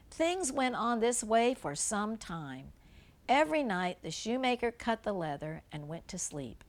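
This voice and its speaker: Older woman reading a storybook, slow and expressive. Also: fairly low pitch. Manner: simple explanations, gentle questions to a young listener. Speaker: grandmother reading a storybook to children. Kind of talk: read-aloud children's storybook with chatty asides.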